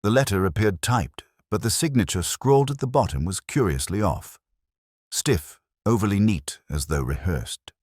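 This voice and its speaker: A man narrating a scripted mystery story in a measured, literary manner. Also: fairly low pitch, lively intonation, a little mellow, breathy edge, dry recording, studio quality.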